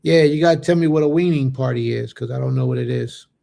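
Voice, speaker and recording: Gravelly, mellow-voiced man in his late thirties. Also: medium pitch, fast talker. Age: late 30s. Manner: reads viewer comments aloud and answers them, with Hebrew blessings. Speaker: host of a religious fellowship livestream. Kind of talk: casual livestream chat with viewers.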